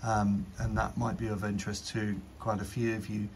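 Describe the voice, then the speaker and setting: Guy reviewing gear outside, fairly low voice, informal and thinking out loud, noticeable noise behind him. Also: little pitch movement, normal speed. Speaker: drone reviewer. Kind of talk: casual demo commentary. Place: outdoors on a garden patio in rain. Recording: outdoor recording with clear background noise.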